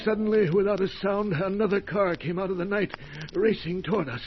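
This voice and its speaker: Actor as a high-voiced, shaken crash survivor. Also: male voice, natural voice. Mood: dazed, grieving. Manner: halting, melodramatic, anguished. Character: shaken, injured crash survivor, haunted and frightened. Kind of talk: old-time radio mystery acting. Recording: muffled, noisy old radio recording.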